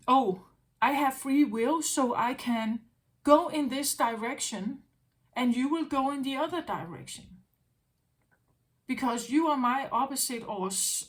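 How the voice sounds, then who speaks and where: A woman talking as a tarot reader in an unscripted spiritual monologue, musing aloud, repeating her phrases and often checking in with "right?". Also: fairly high pitch.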